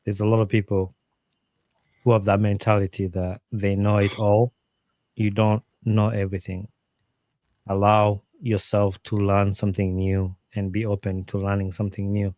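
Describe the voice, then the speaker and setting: A man with a slightly low voice talks earnestly and animatedly as a podcast guest. He restarts often and fills pauses with "um" and "like". The sound is dry and close, with little room in it. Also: flat delivery, warm tone, dry recording. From Uganda. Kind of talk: casual interview talk, giving advice.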